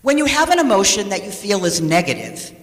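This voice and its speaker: In an echoey hall with long reverb, a woman reads a sermon from a script. Her delivery is measured and deliberate, and her pitch moves in a lively, wide range. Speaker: lay speaker reading a sermon.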